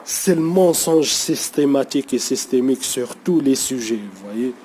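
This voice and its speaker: A man's voice: medium pitch, expressive, moderate pace, warm tone, a little hiss or hum. A man talking off the cuff to his viewers in a language that is not his first, hesitant and full of fillers, often checking in with "you see". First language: not French. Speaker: vlogger. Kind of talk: unscripted talk to camera.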